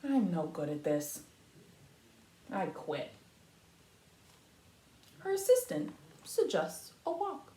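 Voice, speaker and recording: A woman reading a picture book aloud to young children like a teacher, with expressive, emphatic phrasing and explanatory asides. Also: mid-range voice, slow, long pauses. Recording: echoey room, faint background noise.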